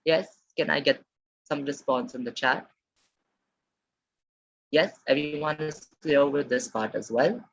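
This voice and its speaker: A woman teaches Japanese in a live online class. Her voice is slightly husky, and she repeats words slowly and keeps asking the chat for replies. The webinar audio sounds dull and muffled. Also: sits low. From Maharashtra, India.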